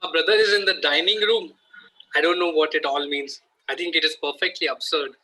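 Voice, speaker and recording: A man playing an evasive, easily exasperated Victorian country gentleman in a play read-through, polite but fussy. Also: fairly high pitch, steady tone, brisk, poor audio. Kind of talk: amateur play table read.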